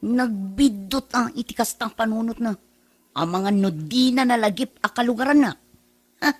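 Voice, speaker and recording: A woman's voice: a little high, normal speed, slightly rough. A woman with an Ilocano accent playing a blunt, nagging, easily affronted woman in a comedy radio drama. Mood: indignant.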